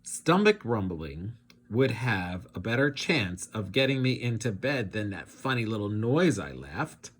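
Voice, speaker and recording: A man reading a children's storybook aloud as a teacher, with dramatic and deliberate phrasing. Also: fairly low pitch, very animated, husky. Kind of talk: expressive children's storybook narration.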